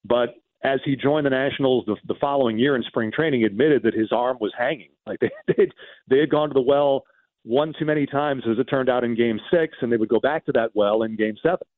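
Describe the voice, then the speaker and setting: A man's voice: medium pitch, a little fast, no echo. Guy on sports radio going over old games in detail, kinda emphatic, dry lo-fi sound. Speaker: radio baseball commentator and guest. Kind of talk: unscripted sports talk, recounting games in detail.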